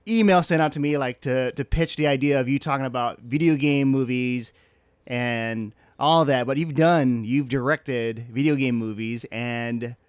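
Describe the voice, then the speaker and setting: A man hosting a casual interview, asking in a rambling, halting way. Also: mid-range voice, smooth, dry recording, clean studio sound. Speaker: interviewer, host.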